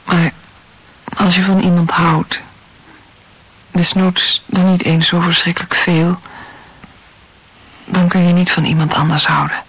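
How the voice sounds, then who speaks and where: Casting: hurt, reproachful young female lover for radio drama, plaintive, breathy voice. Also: lowish voice, level tone, unhurried, pauses often, a bit bright, no echo, heavily acted, poor audio. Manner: plaintive, accusing. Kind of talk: intimate radio play dialogue.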